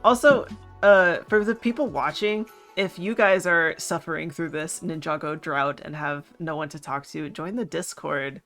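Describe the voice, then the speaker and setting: Lower-pitched woman in slangy podcast chat. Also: moderate pace, a bit bright, faint music behind. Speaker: podcast co-host. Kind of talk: casual fan podcast chat.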